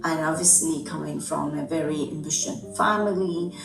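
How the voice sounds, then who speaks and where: Low-voiced Afghan woman, hesitant speech. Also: creaky. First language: Dari. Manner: thoughtful, many "um" and "uh" pauses. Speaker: guest. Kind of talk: conversational interview. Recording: echoey room, distant mic, music behind.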